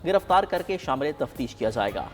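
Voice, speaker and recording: This is a male news anchor with a Pakistani accent, reading headlines from a script. His voice sits at an average pitch, with a long reverberant tail and a faint music bed. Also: sing-song, fairly quick.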